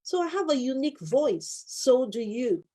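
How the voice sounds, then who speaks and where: A woman's voice: high-pitched, big pitch swings, moderate pace, dark tone, breathy edge. A woman in her fifties, a life coach and former solicitor, giving an earnest motivational talk and repeating her key phrases for emphasis.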